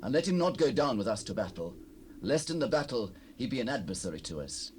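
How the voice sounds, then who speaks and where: A man plays a suspicious, indignant warrior prince in a medium register with very lively intonation. He declaims in a Bible audio drama over faint tape noise. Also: medium pitch, a little fast, natural voice. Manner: declamatory, challenging. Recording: faintly noisy 1970s cassette rip.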